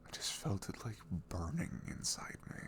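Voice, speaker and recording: Guy doing a sorry ex boyfriend asmr thing, breathy half whispers, gravelly, halting and pleading. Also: very animated. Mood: ashamed confession. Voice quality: soft, breathy, low murmur with creak. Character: remorseful, emotional ex-boyfriend apologizing tenderly. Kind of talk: intimate ASMR audio roleplay.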